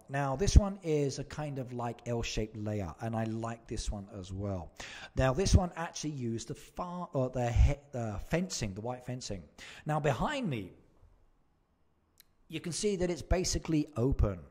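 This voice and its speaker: Man, live stream host, casual gaming commentary, chatty, repetitive phrasing, medium pitch. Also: expressive, normal speed, dark tone. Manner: chatty, repeats phrases, moves between chat and game.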